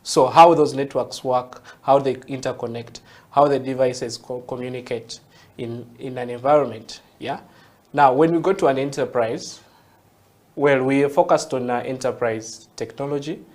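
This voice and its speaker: A man's voice: mid-range voice, moderate pace, a little mellow. A Ugandan man with a Ugandan English accent, a guest in an interview, patiently explaining technology and often checking in with "yeah?". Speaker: guest, tech entrepreneur.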